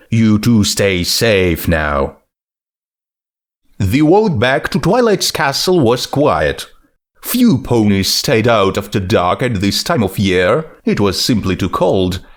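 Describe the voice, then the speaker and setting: A man's voice: mid-range voice, big pitch swings, unhurried. A man reading a piece of comic fiction aloud as its narrator, in scripted storytelling style.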